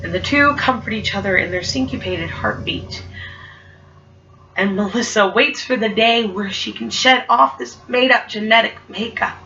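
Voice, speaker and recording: A woman's voice: mid-range voice, moderate pace, gravelly, bright, forceful tone, slight background noise. A young woman performing spoken word poetry, speaking with a stutter and an emphatic, rhythmic delivery. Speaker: poet. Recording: muffled, from far off.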